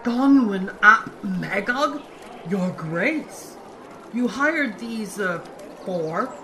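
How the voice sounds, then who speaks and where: A sly, teasing gate guard played by a female actor, drawling slowly with big swings in tone. The radio adventure has noisy birdsong behind the voice. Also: medium pitch, animated, pushed and bright, full character voice. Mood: wry, surprised. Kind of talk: radio-serial style acting.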